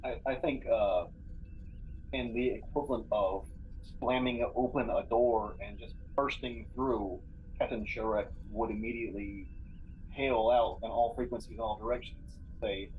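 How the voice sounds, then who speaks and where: A man playing a tabletop game, chatting casually and thinking aloud in a halting way. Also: medium pitch, steady tone. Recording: tinny phone-like line, background noise.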